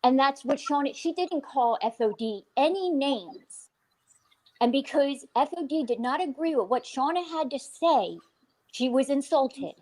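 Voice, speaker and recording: A woman's voice: high voice, lively intonation, measured pace, darkish tone, low-quality recording. A woman on a live stream panel, insistent and pushing back firmly.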